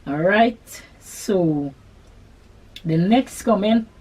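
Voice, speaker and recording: A middle-aged woman with a Guyanese accent, hosting a sewing channel and answering viewer questions in an unhurried, chatty way. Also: medium pitch, animated, darkish tone, breathy edge. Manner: unhurried, chatty, Creole-tinged phrasing. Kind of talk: casual Q&A chat to camera.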